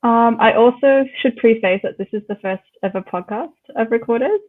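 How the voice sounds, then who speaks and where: A woman's voice: fairly high pitch, normal speed, narrow, tinny audio. A woman guest chatting casually and enthusiastically on a podcast, giggly, over a thin remote line. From Malaysian Chinese, living in Australia. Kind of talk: casual chat.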